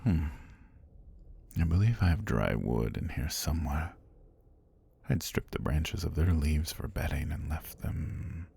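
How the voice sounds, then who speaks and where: A man voicing an ancient giant serpent god in an ASMR fantasy roleplay, languid and sinister, with formal, amused, softly menacing speech and a husky, breathy, gravelly voice. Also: rumbling low, lively intonation, measured pace, pauses often, full character voice. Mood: languid, calm.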